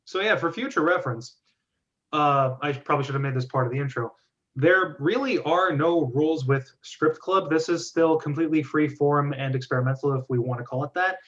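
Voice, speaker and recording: A man hosting a group discussion, giving feedback in a wordy, measured, organized way. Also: a little high, fairly bright tone, muffled recording. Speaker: host of a script reading club.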